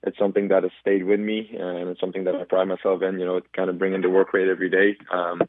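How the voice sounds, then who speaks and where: A man's voice: deep voice, completely flat delivery, fast, a little hiss or hum. A man in his twenties, a soccer player guest answering radio interview questions thoughtfully, with many ums and restarts. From Belgium. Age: 20s. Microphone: phone call. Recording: tinny phone line.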